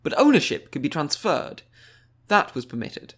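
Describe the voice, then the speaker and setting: A male narrator reads a fiction audiobook. His voice sits a little high for a man. The delivery is scripted storytelling. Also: big pitch swings, normal speed, fairly bright tone.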